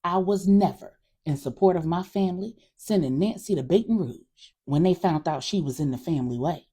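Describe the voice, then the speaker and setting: A woman's voice: fairly low pitch, normal speed, dark tone, slightly rough. A woman voicing a frank older aunt in an audio story, folksy and emphatic as she reminisces. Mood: frank, disapproving. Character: older Southern family aunt, frank, gossipy, sympathetic.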